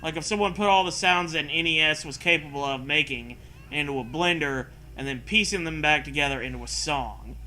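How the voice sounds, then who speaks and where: A man's voice: a little high, brisk, pushed and bright, slight background noise. A man reading a story aloud and breaking in with sarcastic, sweary casual commentary. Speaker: host reading and mocking fan fiction.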